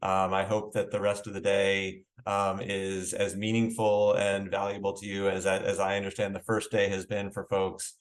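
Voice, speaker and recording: Low-voiced man giving halting opening remarks. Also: completely flat delivery. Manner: halting, hedging, frequent "you know". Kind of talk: conference welcome speech, loosely spoken.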